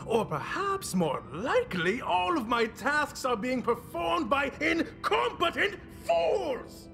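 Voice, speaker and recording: A grandiose villain boss for a game, in an extremely high voice with wild swings and a gravelly creak. He is pompous and sarcastic, with a seething temper. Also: very animated, slow pace, sharp tone, full character voice. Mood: mocking, seething. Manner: pompous, grandiose, mock-delighted. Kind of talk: cartoonish video game cutscene acting. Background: music behind the voice.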